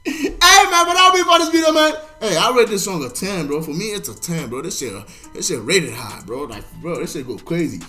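A young man in his twenties reacting to music from his bedroom, loud and excitable, full of slang, swinging between shouting and muttering. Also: high-pitched, brisk, hardly any pauses. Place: bedroom, indoors, gaming chair.

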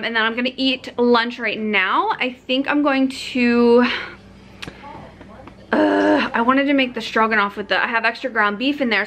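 Young woman, casual vlog chat, camera at arm's length, fairly high voice, upbeat, many fillers. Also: fairly bright tone, a little hiss or hum. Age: young adult. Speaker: vlogger. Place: parked car, then home kitchen. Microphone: handheld camera at arm's length.

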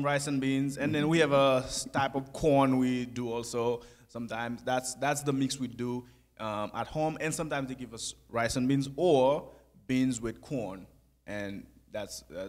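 A young man telling his life story in a church sanctuary, speaking softly into a handheld mic with pauses and easy laughs. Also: medium pitch, fairly even intonation. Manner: soft-spoken, halting, laughs easily. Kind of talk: conversational testimony.

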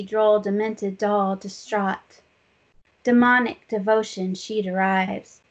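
A woman, a poet, reading her own poems in a measured recitation over a video call. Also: mid-range voice. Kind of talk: poetry reading. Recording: dull, lo-fi video call audio.

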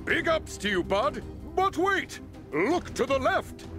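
A man's voice: very high-pitched, very animated, slow pace, music in the background, full character voice. A man playing a verbose psychic superhero mentor in a cartoon game, over-the-top, cheesy and adoring. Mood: bombastic enthusiasm.

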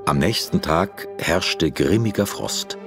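An actor narrating a fairy tale audio drama in a measured, expressive, literary manner. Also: male voice, low-pitched, music in the background, exaggerated voice. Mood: storytelling.

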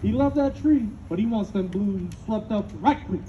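A man's voice: high-pitched, expressive, normal speed, crowd noise. A man playing an earnest enslaved Southern boy in a live dramatic reading, speaking in folksy dialect. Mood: earnest recounting. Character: earnest enslaved Southern boy who can read a little. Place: outdoor stage in open air. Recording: muffled, noisy outdoor audience recording.

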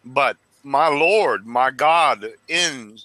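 Fervent, lively-voiced man on a headset. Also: medium pitch, very slow, no echo. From Arizona, United States. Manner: fervent, rambling, stumbles over words. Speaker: caller. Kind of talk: casual religious video chat. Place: small dim room indoors.

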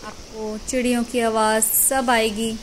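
A woman's voice: on the high side, flat delivery. A woman vlogging as she walks a mountain trail, cheerfully pointing out the sights to her viewers. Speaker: travel vlogger. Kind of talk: walking travel vlog commentary. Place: outdoors on a mountain trail near a waterfall. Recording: outdoor phone audio with water noise. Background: rushing water.

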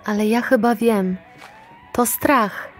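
An actress playing a worldly, resolute older woman manager in an audio drama, phrasing things deliberately and floridly. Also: fairly high pitch, faint music behind.

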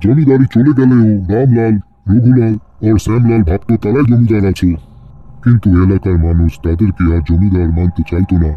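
A man voicing an old male servant, gravely telling an eerie tale in a cartoon horror story. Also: fairly low pitch, a little fast, narrow, tinny audio, close to an everyday voice. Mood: grave, ominous. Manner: grave, storytelling, Hindi-mixed Bengali wording.

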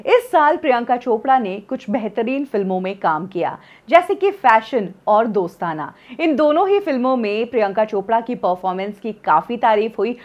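A woman in her thirties presenting scripted celebrity gossip news in a studio, telling the story in a lively way and dropping in English phrases. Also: a little high, moderate pace, hardly any pauses, lo-fi sound.

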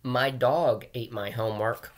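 A man voicing a naughty little boy from a storybook who makes excuses, in a drawn-out, emphatic delivery. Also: medium pitch, steady tone, natural voice. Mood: defensive.

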